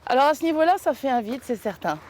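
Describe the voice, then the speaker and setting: Reflective mother with a fairly high voice. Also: lively intonation, fast, darkish tone. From Saint-Pierre-et-Miquelon. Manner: reflective, hesitant. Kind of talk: interview.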